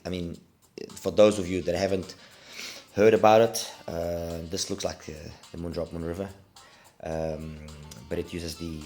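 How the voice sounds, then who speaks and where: Low-pitched middle-aged man, congested from a cold, casual gear review, hesitant phrasing, repeats for emphasis, faint music behind. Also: darkish tone. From Portugal.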